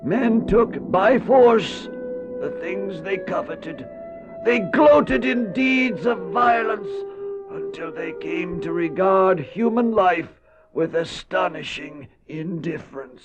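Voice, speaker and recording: A man's voice: high voice, sing-song, heavily acted. A man playing a solemn, ancient patriarch who recounts his life in a dramatized audio narration. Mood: solemn, regretful. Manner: solemn, measured. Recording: dull old cassette, music underneath.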